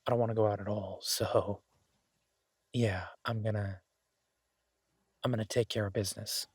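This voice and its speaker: A man playing an easygoing, affectionate chef in an intimate boyfriend roleplay audio, talking in a husky, halting, teasing way to a partner. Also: on the low side, steady tone, no echo, studio quality. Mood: wry. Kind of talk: intimate boyfriend roleplay audio, casual one-sided chat.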